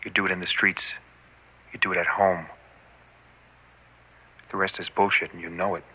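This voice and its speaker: A man's voice: low-pitched, level tone, moderate pace, sharp tone, husky, exaggerated voice, low-quality recording. A man voicing a gritty, blunt man from a film's opening narration, in a clipped delivery.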